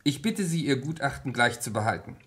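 A man playing an insistent, cryptic gentleman in an old German radio crime play, speaking formally and pointedly. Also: medium pitch, very animated, brisk, breathy edge. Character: insistent, cryptic English gentleman consulting a lawyer. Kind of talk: German radio crime play acting from the mid-20th century.

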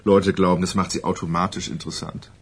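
Deep, husky, cynical newspaperman. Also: level tone, quick pace. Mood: scornful. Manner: sardonic, casual. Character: cynical, blunt local newspaper editor in Key West. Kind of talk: German radio crime drama acting.

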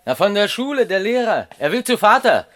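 A young actor playing a mayor's polite, candid teenage schoolboy son in a radio drama. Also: male voice, high voice, big pitch swings, brisk, a bit bright. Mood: polite, announcing. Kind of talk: 1970s German radio drama acting.

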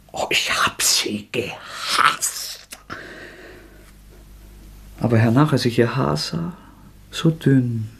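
An actor playing a tormented, defiant young man confessing to murder in an agitated, anguished German radio drama performance, with a husky voice that often breaks. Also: mid-range voice, fairly bright tone, full character voice. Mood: anguished, self-loathing. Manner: agitated, anguished, halting outbursts.

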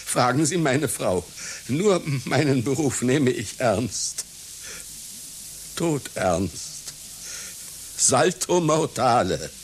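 A man's voice: medium pitch, expressive, a little hiss or hum. An actor playing an anxious, self-pitying old circus clown in a satirical radio play, brooding and theatrical in his delivery. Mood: grave, brooding. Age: older. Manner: theatrical, brooding, halting, insistent.

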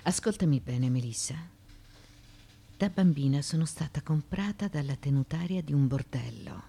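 A woman's voice: low-pitched, animated, moderate pace, gravelly, warm tone, slightly rough, full character voice. An actress playing a proud, defiant middle-aged former courtesan and mother in ancient Greece, emphatic and insistent, in a theatrical radio drama.

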